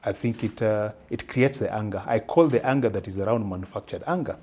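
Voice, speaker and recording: A Kenyan man with a fairly low, husky voice answers as an interview guest. He explains in a measured, halting way, often pausing to find the right word. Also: darkish tone. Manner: halting, measured, searching for words. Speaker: interview guest, public policy analyst.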